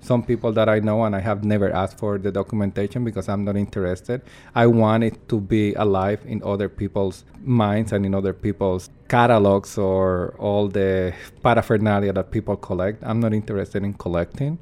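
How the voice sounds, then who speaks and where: Casting: man, lowish voice, earnest and reflective, pauses to find words. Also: fairly even intonation, darkish tone. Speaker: performance artist. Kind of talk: interview answers.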